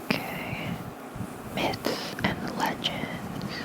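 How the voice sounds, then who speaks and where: An ASMR creator softly whispering lore as a bedtime reading with casual asides, close to a fluffy-covered microphone. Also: drawn-out words, low-quality recording.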